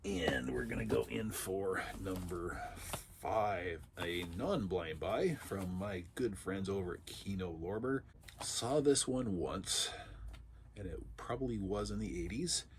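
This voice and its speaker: A man hosting a casual unboxing video, sounding raspy and congested because he is under the weather, and chatting in a rambling way with dry asides. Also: low voice, lively intonation, takes it slowly, slight background noise. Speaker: vlog host.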